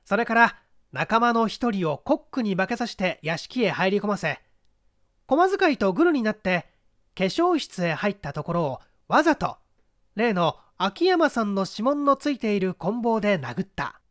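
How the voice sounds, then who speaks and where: Narrator playing a lively, confident boy detective. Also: mid-range voice, moderate pace, dry recording, clean studio sound. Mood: confident, explaining. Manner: assured, methodical deduction. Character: confident clever schoolboy detective explaining a crime. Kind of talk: audiobook character reading.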